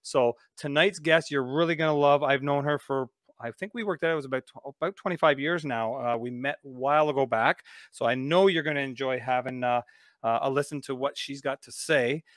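A man in his forties hosting a casual video podcast, chatty and relaxed, with plenty of fillers. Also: mid-range voice, a little fast, no echo, clean studio sound. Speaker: podcast host. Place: home studio, indoors. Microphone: studio mic on a video call.

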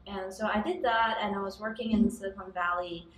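A woman's voice: mid-range voice, steady tone, brisk. Young woman from Hong Kong, roomy and distant. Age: young adult. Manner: thoughtful, many ums and restarts, occasional laughs. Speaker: interviewed startup founder. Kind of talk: casual interview answers. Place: office room by a large window with city towers outside. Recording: roomy echo, somewhat distant mic.

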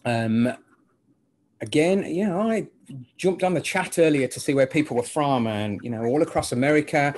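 A middle-aged man with a British accent giving a clear, steady medical teaching webinar over a video call. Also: on the high side, moderate pace, slight background noise. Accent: British English. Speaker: webinar presenter. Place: indoor office with a logo backdrop.

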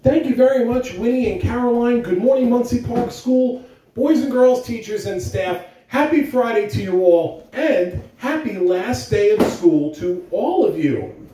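Mellow, expressive man, lively pitch swings. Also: recorded from a distance. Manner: expressive, addressing children. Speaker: school announcer reading aloud. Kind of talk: morning announcements and children's story read-aloud. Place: living room, indoors. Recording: roomy, recorded away from the mic.

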